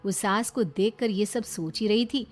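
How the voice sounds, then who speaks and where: A woman's voice: medium pitch, normal speed, over loud music. A woman reading scripted narration for a family moral story, as a storyteller.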